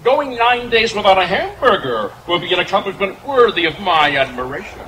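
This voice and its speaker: A man's voice: sits high, sing-song, a little fast, bright, forceful tone, full character voice. A man playing a pompous, mild-mannered glutton with prissy, flowery diction in an old-time radio comedy. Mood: haughty. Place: outdoor stage in woods. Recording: noisy live outdoor stage.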